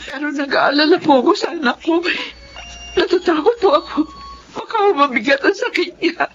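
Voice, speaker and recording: A woman's voice: sits high, expressive, normal speed, slight background noise. An actress playing a young mother in a radio drama, speaking in an emotional, pleading, tearful way. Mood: anxious. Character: anxious young mother and wife. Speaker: mother narrating her own story. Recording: dull lo-fi radio recording with music. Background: music.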